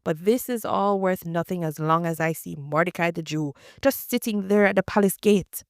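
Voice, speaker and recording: Woman reader as a boastful, bitter nobleman; dry, close, gravelly. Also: fairly low pitch, moderate pace, dry recording, lightly acted.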